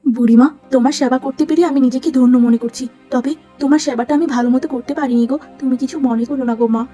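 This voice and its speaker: A woman voicing a humble, long-suffering poor young woman in a cartoon, gentle and plaintive. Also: high-pitched, fairly even intonation, quick pace, soft background music, natural voice. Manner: plaintive, pleading.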